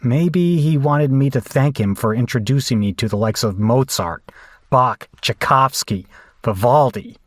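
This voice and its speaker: An actor playing a cynical biker who narrates an audio drama in a wry, bitter, self-mocking way. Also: male voice, medium pitch, a little slow, no echo, studio quality, natural voice. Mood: wry resentment. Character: cynical, bitter biker man telling his story.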